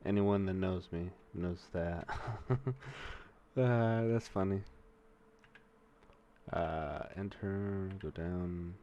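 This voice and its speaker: Slow-talking profane young man. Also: low voice, steady tone, highs cut off, a little hiss or hum. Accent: Mexican-American. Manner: profane, rambling, thinks aloud while typing. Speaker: streamer learning to code. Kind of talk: casual coding stream commentary.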